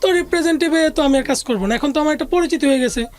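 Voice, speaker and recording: Woman, Bangladeshi accent, high voice, conversational life-story telling, colloquial, interview guest, faint music underneath. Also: racing, darkish tone. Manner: casual colloquial Bengali with occasional English business words.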